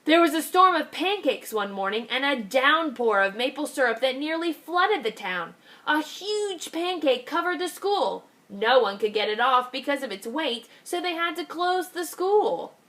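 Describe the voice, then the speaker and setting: A woman, a kindergarten teacher, reading a picture book aloud with expressive delivery, slowing down to stress key phrases. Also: high-pitched.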